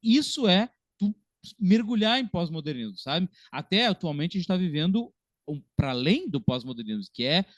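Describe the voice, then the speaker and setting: A man's voice: high voice, very animated, normal speed, dry recording, dull audio. A man with a southern Brazilian accent in a casual film discussion as a podcast panelist, talking in an informal, slangy way.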